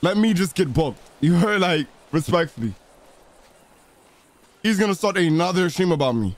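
A man's voice: sits high, animated, moderate pace. A man live streaming a roleplay game, talking in profane street slang and repeating his phrases. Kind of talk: excited in-character gaming chatter in street slang.

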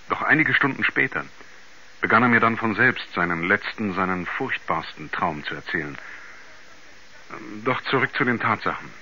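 Sober radio play narrator, measured, lowish but really sharp voice, old dull recording. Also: male voice, a little hiss or hum. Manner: measured, articulate storytelling. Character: sober male narrator, astronaut's concerned confidant. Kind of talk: 1970s German radio drama narration. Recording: narrow-band 1970s radio play recording.

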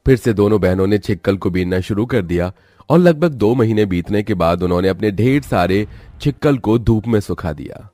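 A man's voice: fairly low pitch, animated, moderate pace, warm tone, no room sound, soft background music. A man narrating a scripted moral story as a storyteller.

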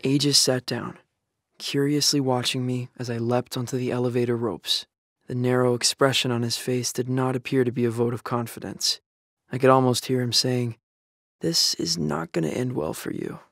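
An actor playing a young male apocalypse survivor, narrating in a measured, dry, wry way for an audio drama. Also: medium pitch, little pitch movement, creaky, a bit bright, dry recording. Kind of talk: scripted first-person narration.

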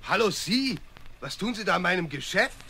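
An actor playing an irritated, suspicious watchmaker in a radio play, curt and scolding. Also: male voice, sits high, expressive, normal speed, creaky, bright, forceful tone, slight background noise, heavily acted. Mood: indignant.

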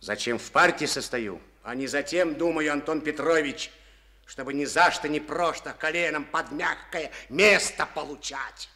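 A man's voice: fairly high pitch, normal speed, pushed and bright. An actor playing a resentful, stubborn collective-farm chairman in a studio radio play, speaking in a folksy way full of proverbs.

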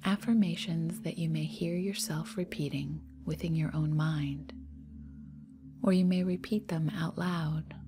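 A woman leading a guided meditation in a soft, breathy, half-whispered voice, soothing and unhurried, leaving long pauses. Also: low-pitched. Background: meditation music.

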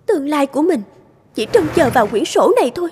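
High-pitched timid young housemaid. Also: female voice, lively intonation, fast talker, darkish tone, slight background noise. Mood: conflicted. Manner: polite, hesitant, deferential. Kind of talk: cartoon voice acting. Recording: faint music behind the voice.

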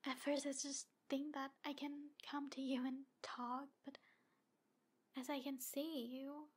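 A woman voicing a jealous, obsessive yandere demon woman in an ASMR roleplay, breathy and halting, often slipping toward a whisper. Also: high voice, flat delivery. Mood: quietly menacing.

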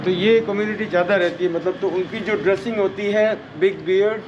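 A man's voice: sits high, steady tone, fairly quick, lo-fi sound, noisy background. A middle-aged man working as a heritage walk guide, explaining sights outdoors and mixing English phrases into his talk. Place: outdoors in old city lanes. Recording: loud background music.

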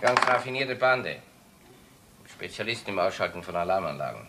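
A bright-voiced, harried detective. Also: male voice, sits low, very animated, normal speed, slight background noise, exaggerated voice. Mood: worried, serious. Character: harried, earnest male burglary-squad detective.

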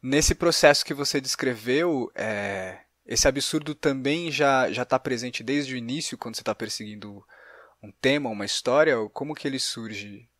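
A man's voice: mid-range voice, moderate pace, a bit bright, no room sound, clean studio sound. A man with a Brazilian accent interviewing as a podcast host, in a thoughtful, informal conversational way.

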